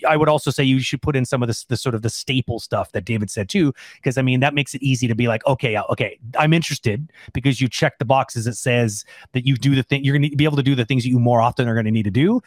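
A man with a mid-pitched voice co-hosts an informal Q&A about his craft. He speaks off the cuff in a rambling but emphatic way, with casual phrasing. The sound is dry, with very little room in it. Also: fast, hardly any pauses, no room sound. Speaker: animator and Q&A co-host. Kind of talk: casual unscripted Q&A discussion.

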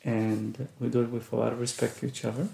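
Middle-aged father as podcast co-host, earnest and reflective, gravelly low tone, echoey room. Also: flat delivery, fast talker, mellow. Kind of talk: casual family chat.

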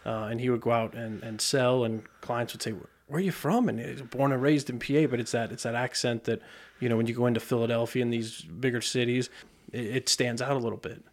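A man's voice: mid-range voice, fairly quick. A man with an American accent hosting a casual interview podcast in a studio. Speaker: podcast host.

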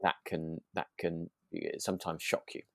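A man co-hosting a podcast, chatting casually and feeling his way through thoughts with halting, repeated phrases. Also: low-pitched, little pitch movement, vocal fry, a bit bright, no echo, studio quality. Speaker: podcast co-host. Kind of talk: relaxed casual chat.

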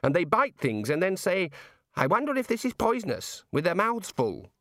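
A man's voice: high-pitched, huge pitch swings, normal speed, fairly bright tone, dry recording, clean studio sound, pitched above the performer's own voice, full character voice. A man reading a story aloud and voicing a put-upon young male wizard who explains things wearily to a god. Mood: weary exasperated. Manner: weary, exasperated explaining.

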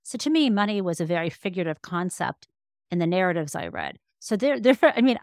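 A woman's voice: fairly low pitch, big pitch swings, brisk, a little mellow, dry recording, clean studio sound. A woman answering as an interview guest in a conversational, explanatory way, restarting phrases as she thinks. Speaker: interview guest, law professor and author.

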